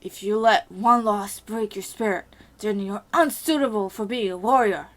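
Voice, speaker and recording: A woman's voice: mid-range voice, normal speed, lightly acted, rough recording. A woman reading as an earnest young male hero.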